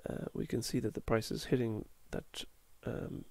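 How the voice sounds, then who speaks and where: A man losing his voice, talking through market charts in a halting way, with many fillers and self-corrections. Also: medium pitch, flat delivery, darkish tone, no room sound. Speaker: market analyst. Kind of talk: chart walkthrough.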